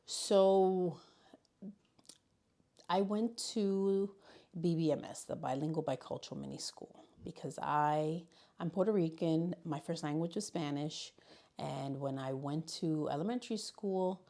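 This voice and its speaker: A woman from New York City speaks in a low voice with a gravelly edge. She talks reflectively in an interview about how she came to teaching, circling back and restarting as she thinks. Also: unhurried, warm tone. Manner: reflective, storytelling, with restarts and fillers. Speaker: high school learning specialist. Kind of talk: interview conversation.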